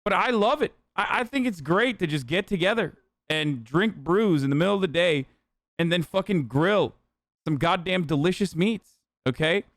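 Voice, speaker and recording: A man's voice: sits high, animated, normal speed, a bit bright, dry recording. A young man from Turkey doing casual livestream commentary, ranting loosely and swearing for emphasis. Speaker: streamer reacting to a video.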